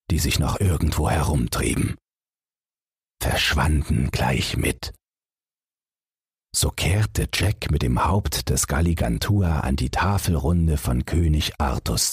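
Very deep, slow-speaking male storyteller. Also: slightly rough, dry recording, studio quality. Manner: measured, expressive storyteller. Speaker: audiobook narrator. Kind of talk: bedtime fairy tale narration.

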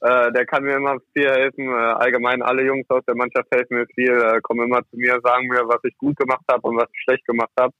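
A man's voice: medium pitch, little pitch movement, fast talker, hardly any pauses, no room sound. Young man, dry muffled recording. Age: young adult. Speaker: ice hockey player, interviewed guest. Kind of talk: casual interview answers. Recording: muffled, dull lo-fi audio.

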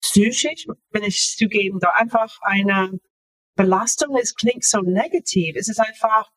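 Halting, bright-voiced woman guest. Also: mid-range voice, low-quality recording. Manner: halting, searching for words with many fillers. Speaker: author, interview guest. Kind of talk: casual interview talk.

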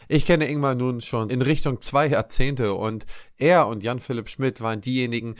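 Low-voiced male podcast host. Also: dry recording, studio quality. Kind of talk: podcast interview.

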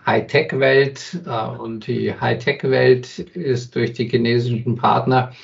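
A man's voice: mid-range voice, little pitch movement, slow, creaky. A man speaking as an industry consultant guest in an interview, answering thoughtfully with frequent hesitations and restarts. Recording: dull sound from some distance.

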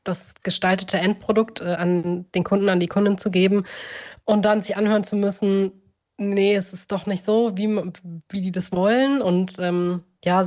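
A woman's voice: mid-range voice, darkish tone. A woman hosting a conversational podcast interview, talking in wordy sentences and often searching for the next phrase as she thinks aloud. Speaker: podcast host. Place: remote, joining on screen.

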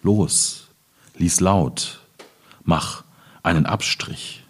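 A man from Germany's Ruhr region, a poet at a literary reading, speaking in a husky, deliberate, measured way. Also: sits low. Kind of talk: poetry reading with informal commentary.

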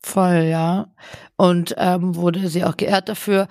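A young adult podcast co-host in a casual chat who speaks haltingly and keeps searching for words. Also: medium pitch, little pitch movement, a little mellow, no room sound, studio quality.

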